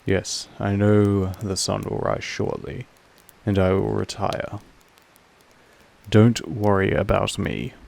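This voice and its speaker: A man playing a possessive vampire master in an intimate audio roleplay, speaking formally in a measured, softly menacing way with a gravelly creak. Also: on the low side, level tone, exaggerated voice. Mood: tender, proud. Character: possessive male vampire master, calm, commanding, quietly affectionate.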